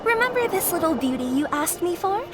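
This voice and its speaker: Perky monster girl, game character, high pitch, lively swings, bubbly, theatrical. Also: brisk. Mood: cheerful. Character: perky, outgoing female Digimon, a helpful moderate Titan. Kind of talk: English video game voice acting.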